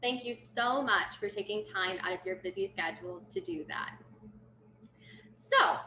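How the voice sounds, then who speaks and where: A woman's voice: medium pitch, measured pace, sharp tone. A woman interviewing two dancers, enthusiastic and full of praise. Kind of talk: friendly interview questions. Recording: echoey room with faint noise.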